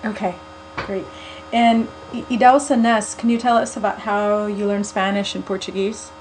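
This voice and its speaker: Inquisitive woman, clear hum behind. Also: mid-range voice, flat delivery, moderate pace, rough recording. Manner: brief, inquisitive. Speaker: interviewer. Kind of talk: interview questions.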